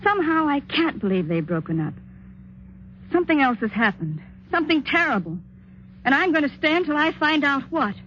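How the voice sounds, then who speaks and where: A woman's voice: high-pitched, very animated, moderate pace, fairly bright tone, slight background noise. An actress playing a worried, stubborn young married niece, narrating her tale in a dramatic 1950s radio suspense style. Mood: apprehensive. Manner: earnest, dramatic, insistent. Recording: muffled old radio recording, music behind.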